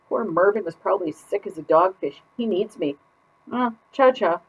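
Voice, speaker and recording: A woman's voice: a little high, pitched above the performer's own voice. A woman reading a children's picture book aloud as a put-upon goldfish keeping a diary, with exaggerated, exasperated emphasis. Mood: worried. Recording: dull lo-fi sound.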